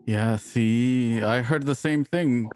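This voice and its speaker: A man playing a gentle, earnest and concerned frontier husband in a radio drama. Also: mid-range voice, slow pace, no room sound, studio quality, close to an everyday voice. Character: tender, concerned frontier husband who mixes in Spanish endearments.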